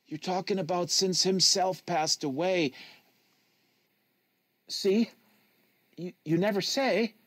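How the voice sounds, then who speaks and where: Man playing earnest little brother, raised pitch, husky, needling, innocent, storybook reading. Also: takes it slowly, long pauses, a bit bright, no room sound, exaggerated voice. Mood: earnest, petulant. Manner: earnest, persistent. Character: eager, innocent, needling boy, the younger brother. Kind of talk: novel reading.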